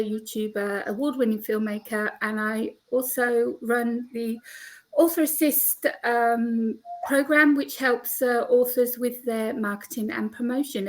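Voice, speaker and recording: A woman who works as an author and podcaster, introducing herself in a brisk, listing way. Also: a little high, rough recording. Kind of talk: self-introduction on a panel.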